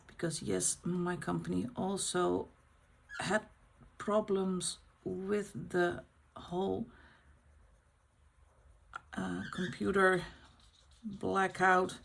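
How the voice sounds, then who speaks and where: A middle-aged Dutch woman chatting in English, her second language, as she colours on camera. Her voice is gravelly and husky, and she talks slowly and unscripted, often stopping to search for the next word. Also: fairly low pitch. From Netherlands. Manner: unscripted, pauses to find words. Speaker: colouring channel host. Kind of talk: casual chat while colouring.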